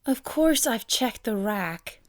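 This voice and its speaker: Actress as pert young sister, fairly high female voice, worldly, practical, matter-of-fact, period audio drama. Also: lively intonation, slow pace. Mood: flustered.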